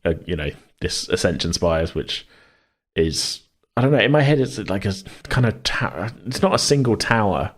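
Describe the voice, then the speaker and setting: A man co-hosting a casual worldbuilding chat, hesitant and rambling as he thinks out loud. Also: lowish voice, lively intonation, normal speed. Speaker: podcast co-host.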